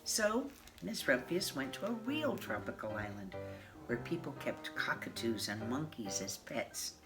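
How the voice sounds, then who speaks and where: A woman of mature years reading a children's picture book aloud in a measured, expressive way. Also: a bass voice. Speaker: storybook reader. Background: classical piano music.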